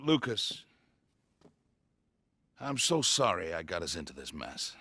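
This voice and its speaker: An actor playing a gentle, grieving older scientist in a video game cutscene, reflective and earnest. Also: male voice, deep voice, big pitch swings, takes it slowly, husky, no echo, heavily acted. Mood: wistful. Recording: music behind the voice.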